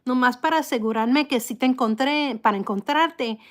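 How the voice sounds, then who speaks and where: A woman from the United States hosting a casual live chat, with slightly impeded articulation and a halting, unhurried manner. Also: high voice. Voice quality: slightly impeded articulation from a false tooth and past stroke. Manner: halting, unhurried, greeting viewers by name. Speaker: live stream host.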